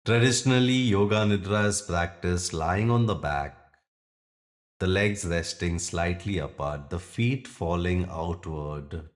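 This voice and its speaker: A man teaching yoga nidra, guiding a meditation gently in short phrases with long pauses. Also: low-pitched. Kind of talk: guided meditation.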